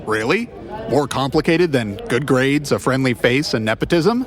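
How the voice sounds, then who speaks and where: A man playing an awkward, self-deprecating ex-cop private eye in a noir comedy audio drama, wry and halting as he banters. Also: mid-range voice, lively intonation, close to an everyday voice. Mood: wry, probing.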